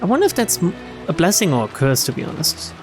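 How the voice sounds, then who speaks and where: Male game streamer, lively and expressive, lighter pitch, narrating and commenting casually. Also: quick pace, over music. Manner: expressive reactions, casual swearing. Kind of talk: let's-play reading of a visual novel with casual commentary.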